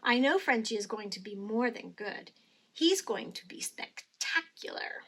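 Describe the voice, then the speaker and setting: A woman voicing an excitable little girl who fancies herself elegant, in a theatrical storybook manner. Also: a little high, measured pace, slightly rough, exaggerated voice. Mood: excited, commanding. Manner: theatrical, sprinkles in French words. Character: Nancy, excitable fancy little girl dog owner.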